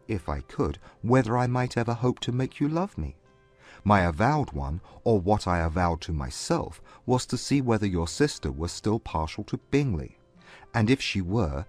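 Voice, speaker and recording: A man playing a proud, earnest gentleman suitor in a dramatized period romance audiobook, speaking formally and devotedly. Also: lowish voice.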